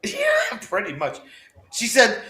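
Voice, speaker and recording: A man on a video call with a hoarse voice who keeps breaking into giggles. He talks slowly in a high, bright voice that swoops around, and the room behind him sounds echoey. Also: wildly swooping pitch, a crowd in the background. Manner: giggly, laughs constantly. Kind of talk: casual chat.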